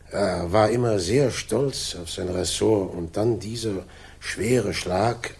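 An actor playing a stiff, grieving upper-class colonel, formal and reserved, with a gravelly, husky voice in a 1960s German radio drama. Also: male voice, on the low side, darkish tone, exaggerated voice. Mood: grave, bitter.

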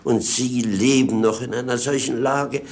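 An actor playing a wry, earthy servant to a prince in a 1950s German radio play, sardonic and theatrically exasperated. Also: male voice, medium pitch, fairly even intonation, measured pace, a little mellow, close to an everyday voice. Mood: mock exasperation.

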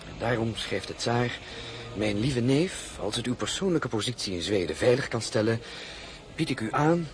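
An actor playing a deferential, earnest count and royal secretary in a radio drama, speaking with courtly formality. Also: male voice, medium pitch, animated, a little hiss or hum, natural voice. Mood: insinuating, grave. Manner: courtly, formal, measured urgency. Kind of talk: dramatized novel, radio play acting.

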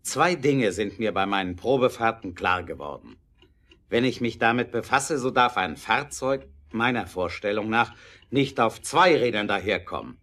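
A man acting an earnest, brooding, determined inventor in a German audio drama, with emphatic, deliberate delivery. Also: fairly low pitch, lively intonation, a bit bright. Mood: resolute, impatient.

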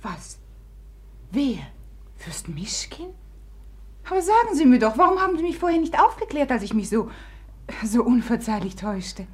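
A woman's voice: fairly high pitch, big pitch swings, moderate pace, pauses often. An actress playing a proud, capricious society woman, teasing and imperious, in a 1950s German radio drama. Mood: intrigued.

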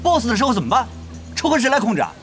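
A man's voice: extremely high pitch, huge pitch swings, very fast, heavily acted. A man playing a skeptical, sarcastic young online gamer in an audio drama, with a mocking, dramatic manner. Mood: indignant, challenging. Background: music.

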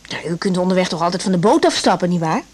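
Levendige, directe jonge vrouwelijke arts. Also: female voice, lowish voice, sing-song, quick pace, darkish tone, husky. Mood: firm, advising. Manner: direct, questioning, conversational. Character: young woman doctor, caring, brisk, inquisitive. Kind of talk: 1980s Dutch radio drama acting.

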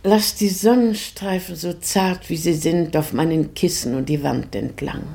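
A dreamy, melancholy lady in a poetic radio play. Her voice is low, husky and full of creak, and she speaks slowly. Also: warm tone, exaggerated voice. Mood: dreamy, wistful. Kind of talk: poetic, slow radio drama acting.

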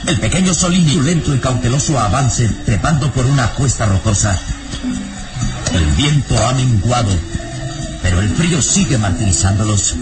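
Theatrical male radio narrator, animated intonation. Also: mid-range voice. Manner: theatrical, suspenseful. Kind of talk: dramatic scripted radio serial narration. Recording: radio drama with loud music behind.

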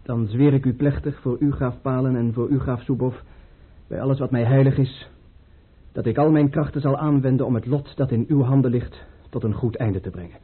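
An actor playing a young, earnest and anxious prince caught up in a conspiracy, speaking formally in a radio drama. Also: male voice, mid-range voice, fairly even intonation, normal speed, slightly rough, close to an everyday voice. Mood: solemn. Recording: muffled old radio play recording.

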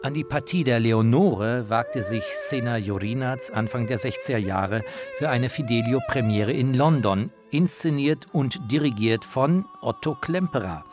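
A man's voice: medium pitch, wildly swooping pitch, unhurried, hardly any pauses, over music. A man narrates a radio documentary in a personal, informed way, as its presenter.

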